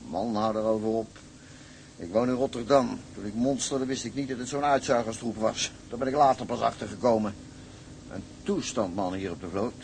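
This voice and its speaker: An actor playing a hearty but disgruntled ship's officer, grumbling colloquially in naturalistic drama dialogue. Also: male voice, on the low side, a little fast, creaky, darkish tone, slight background noise. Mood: aggrieved.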